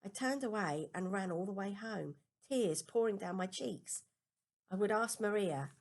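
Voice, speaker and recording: A woman reading a children's novel aloud as a first-person story, with expressive, deliberate emphasis. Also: fairly low pitch. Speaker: amateur audiobook reader.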